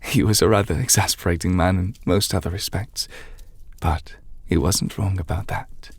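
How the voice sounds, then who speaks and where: A man playing a gentle, patient magic teacher in an ASMR audio roleplay, speaking softly and formally, close to the microphone, as he reassures an apprentice. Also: low voice, dry recording. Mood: gentle guidance. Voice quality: soft, breathy, half-whispered. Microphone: close mic, dry.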